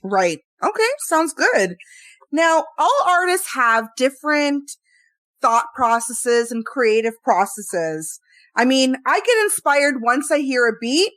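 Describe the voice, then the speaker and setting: A woman hosting a casual music interview, upbeat and quick to affirm her guest. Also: sits high, very animated, a bit bright, no room sound. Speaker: interview host.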